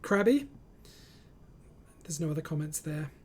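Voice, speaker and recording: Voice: medium pitch, lively intonation, a little slow, darkish tone, breathy edge. A host of a casual online group chat, reading out comments and speaking in an encouraging, reassuring way over a voice call.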